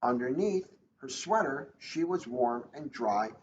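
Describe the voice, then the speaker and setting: A man's voice: mid-range voice, lively intonation, measured pace, roomy sound. A man, a police officer, reading a children's storybook aloud in a casual, informal style. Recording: dull lo-fi audio, faint noise.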